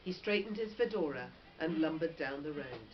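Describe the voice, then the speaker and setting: A woman's voice: low-pitched, moderate pace. A woman reading a children's story aloud expressively, like a teacher. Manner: animated, with short explanatory asides. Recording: dull lo-fi audio with faint noise.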